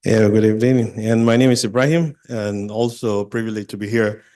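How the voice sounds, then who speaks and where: Low-voiced man introducing himself. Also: steady tone, a little fast, mellow. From Nigeria. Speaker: panel co-moderator. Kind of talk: brief self-introduction.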